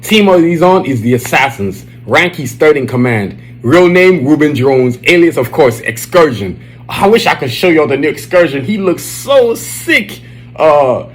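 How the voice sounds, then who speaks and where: Hyped-up podcast host, Nigerian accent. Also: male voice, fairly high pitch. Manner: loose, hyped-up talk with slang fillers. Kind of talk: casual solo podcast chat. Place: Dallas, Texas area.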